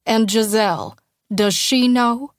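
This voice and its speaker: A woman voicing a tender small-town mother who speaks in a soft, often breathy near-whisper, pleading and heartfelt. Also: fairly high pitch, takes it slowly, dry recording, clean studio sound. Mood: hurt. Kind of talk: audiobook character dialogue.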